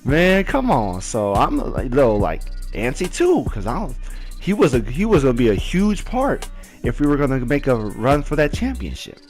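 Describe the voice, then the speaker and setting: Young male storyteller, casual and animated, medium pitch, faint music underneath. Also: normal speed. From Southern California. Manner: animated, leaps up high when reliving moments, heavy "like" fillers. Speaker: storyteller vlogger.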